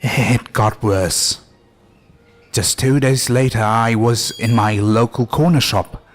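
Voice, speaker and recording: A man narrating a scripted horror story in a deliberate, suspenseful way with dramatic pauses. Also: mid-range voice. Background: music under the voice.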